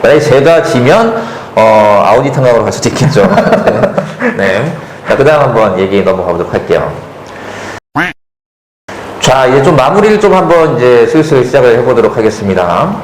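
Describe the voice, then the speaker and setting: A man's voice: medium pitch, moderate pace. Echoey man moderating a panel talk. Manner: relaxed, jokey, lots of fillers and affirming hums. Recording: echoey hall with faint noise.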